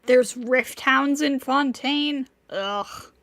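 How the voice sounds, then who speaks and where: A woman's voice: sits high, extremely slow, fairly bright tone, no room sound. A woman streamer reacting casually to a video game with short, offhand comments.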